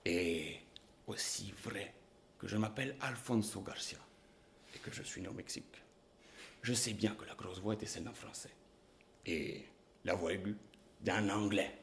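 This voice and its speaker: A man's voice: on the low side, moderate pace, creaky, pauses often, slight background noise. An actor playing a delicate, nervous male witness who speaks fussily and emphatically, with hesitations. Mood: fretful.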